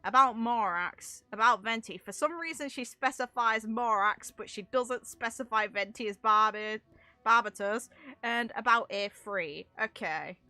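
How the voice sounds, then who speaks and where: A woman's voice: a little high, measured pace, bright, forceful tone, no room sound. A woman streaming a game with casual live commentary, rambling as she thinks aloud and swearing mildly.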